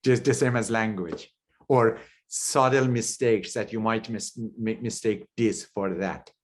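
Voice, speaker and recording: A man whose first language is Persian, hosting an interview in a halting, anecdotal way. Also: on the low side, fairly even intonation. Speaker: interview host. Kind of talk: casual interview chat.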